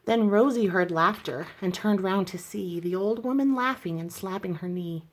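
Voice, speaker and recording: A woman reading a rhyming children's story aloud in an expressive narrating style. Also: medium pitch, normal speed. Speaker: storybook reader.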